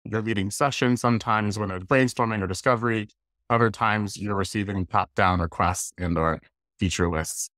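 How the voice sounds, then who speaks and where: A man's voice: on the low side, moderate pace, a bit bright, dry recording, studio quality. A man in his thirties with an American accent, giving an informal online presentation in a casual, conversational way. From Washington, D.C., United States. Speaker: guest speaker, design leader. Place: indoors, plain room on a video call.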